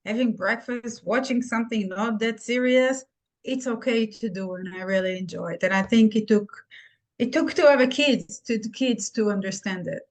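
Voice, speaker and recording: A middle-aged woman from Israel with an Israeli accent, chatting candidly and casually as an interview guest. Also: a little high. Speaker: podcast guest, nurse practitioner.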